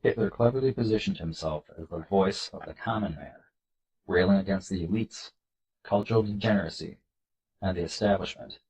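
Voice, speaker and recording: A man with a congested, nasal voice from a cold, hosting a video and reading slides aloud in an earnest, measured way. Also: low voice, darkish tone, muffled recording. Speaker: political video host.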